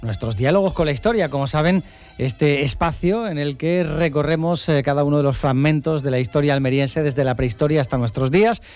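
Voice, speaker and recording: A man's voice: fairly high pitch, brisk, darkish tone. A man introducing a radio segment in an upbeat, announcing manner. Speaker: radio presenter. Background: theme music.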